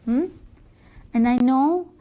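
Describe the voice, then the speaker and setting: Storybook mom female performer: gentle yet firm, patient reasoning, measured and coaxing delivery. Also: high-pitched. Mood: tender, reasoning. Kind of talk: acted children's story dialogue.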